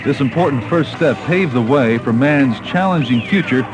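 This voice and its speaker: A man's voice: mid-range voice, expressive, moderate pace. A man with an American accent reads scripted documentary narration, recorded on a 1980s cassette tape. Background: background music.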